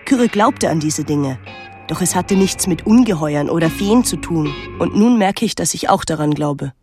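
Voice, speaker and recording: A young actress playing a pensive, detached teenage daughter, narrating a literary radio drama in a measured, introspective way. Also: female voice, on the low side, animated, a little mellow, soft background music, close to an everyday voice. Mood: pensive, haunted.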